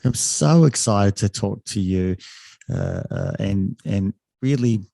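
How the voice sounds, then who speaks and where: A man's voice: lowish voice, animated, vocal fry, dark tone, dry recording, clean studio sound. A man hosting a podcast, asking long questions with frequent repeated words and restarts. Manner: halting, repeats words often, enthusiastic. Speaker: podcast host. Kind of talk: interview questions.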